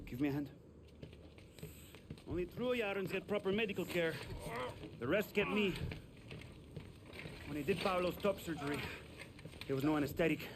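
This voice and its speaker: Sardonic medic guy, played by a man, slightly high voice, noisy scene. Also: normal speed, long pauses, close to an everyday voice. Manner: sardonic, dry humor. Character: wry underground male field medic.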